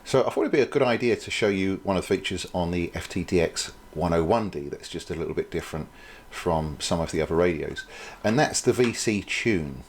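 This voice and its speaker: A man's voice: low voice, slight background noise. A man presenting an informal product demonstration, explaining things in a hesitant, thinking-aloud way.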